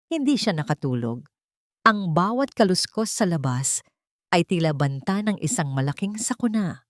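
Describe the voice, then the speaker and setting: A woman's voice: lowish voice, a little mellow, no room sound, clean studio sound. A woman narrating a scripted audiobook drama in a dramatic storytelling style.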